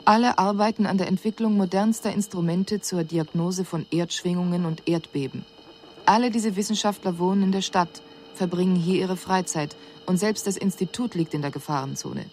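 An actress playing a female alien emissary, giving a measured, formal and precise report in a radio drama. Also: fairly low pitch, darkish tone, a little hiss or hum, close to an everyday voice. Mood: cool, concerned. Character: female alien emissary, cool observer puzzled by human inaction.

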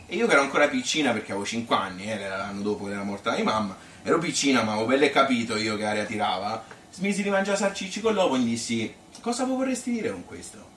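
A man's voice: medium pitch, expressive, fast talker, few pauses, a bit bright, reverberant, far from the mic, slight background noise, natural voice. An actor playing an elderly Tuscan grandmother who reminisces in a rambling, repetitive, colloquial way, as a theatrical monologue. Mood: reminiscing. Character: elderly Tuscan grandmother Annunziata reminiscing about her hard childhood. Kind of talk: theatrical monologue, spontaneous spoken storytelling.

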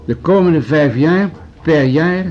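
Patronizing bureaucrat, mellow dark timbre, measured, formal, argumentative, radio drama, slightly dull recording. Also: male voice, fairly high pitch. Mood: businesslike, grave. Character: pragmatic, patronizing male municipal official pushing budget cuts. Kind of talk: Dutch radio drama acting.

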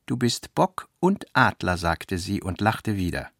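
A man's voice: low voice, very animated, a little slow, creaky, a bit bright, no echo, studio quality. A man reading scripted literary narration as the narrator of a radio play, voicing quoted dialogue within the prose.

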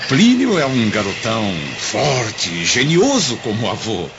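Through a dull, noisy recording comes a Roman senator, a sensible older friend with firm old beliefs. His lowish voice swings widely and theatrically, as in radio drama. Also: a little slow. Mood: genial. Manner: expressive, emphatic, theatrical delivery.

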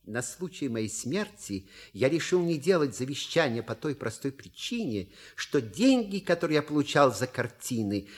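An actor playing a suave, wry manservant with polite, measured, theatrical delivery in a stage comedy audio play. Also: male voice, on the high side, slightly rough. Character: suave, wry manservant reading out a scandalous letter.

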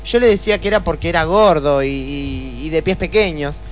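A woman's voice: low voice, a little fast, faint music behind, close to an everyday voice. A woman acting a wealthy, melodramatic widow in a comic radio play, prim and plaintive, with an Argentine accent.

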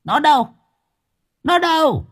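A man's voice: very high voice, very animated, slow pace, fairly bright tone, pitched above the performer's own voice, full character voice. A man voicing a ravenous, possessed old grandmother who screeches curses and snarling threats at her family. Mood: furious.

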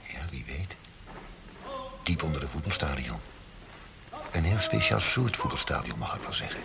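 An actor playing a menacing, mocking interrogator of a brutal regime in a radio play, sneering and theatrical, swinging from quiet threat to ranting. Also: male voice, very deep voice, sing-song, moderate pace, creaky, background noise, heavily acted. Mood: quiet mockery. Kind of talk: radio drama acting.

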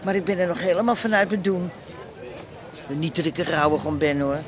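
A woman's voice: lowish voice, fairly quick, crowd noise, lightly acted. An actress playing a worried, chatty middle-aged mother in a radio drama. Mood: concerned, chatty. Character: worried, chatty mother of a stubborn grown son. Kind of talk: naturalistic radio drama dialogue. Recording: busy outdoor street noise behind.

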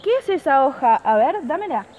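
A woman with an Argentine accent playing a stern, ill-humoured high school teacher in an amateur radio play, addressing the class formally. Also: animated, a little fast, a little mellow. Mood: suspicious, firm. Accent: Argentine Spanish. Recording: noisy amateur recording.

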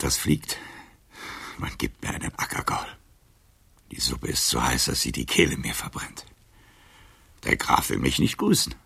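Casting: proud, wounded old libertine for radio drama. Slow, rasping, theatrical declamation with whispers and outbursts. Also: male voice, extremely low pitch, bright, forceful tone, full character voice. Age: older. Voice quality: gravelly, husky, breaking into whispers. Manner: declamatory, swinging from muttering to outcries. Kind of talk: literary radio drama acting in verse.

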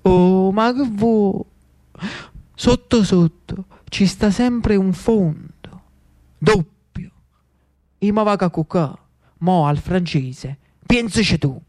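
A man's voice: high-pitched, takes it slowly, a little mellow. A man playing a grumpy, foul-mouthed, sly Neapolitan priest in a theatrical monologue. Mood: conspiratorial.

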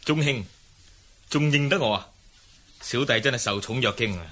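A polite, mysterious martial-arts horseman in a Cantonese radio drama. His voice is bright and forceful at an ordinary male pitch. He coaxes with courtesy. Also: normal speed. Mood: courteous, coaxing. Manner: courteous, coaxing.

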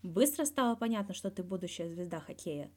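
Woman as a mellow, probing male interviewer. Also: lowish voice, animated, a little fast. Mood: curious. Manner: direct, brief questions. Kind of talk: scripted interview in a fan fiction audio reading.

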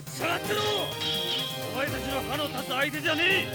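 A man acting in a Japanese radio drama as a cocky, defiant mercenary swordsman who speaks in rough, blunt slang. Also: very high voice, a little fast. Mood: angry. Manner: rough, slangy fighter's speech. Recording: studio drama with loud music behind.

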